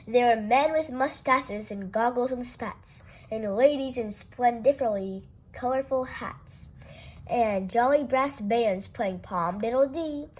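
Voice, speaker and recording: Woman storyteller, fairly high voice, unhurried rhyming read-aloud for kids. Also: a little mellow, slightly rough. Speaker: storytime reader. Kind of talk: reading a rhyming picture book aloud.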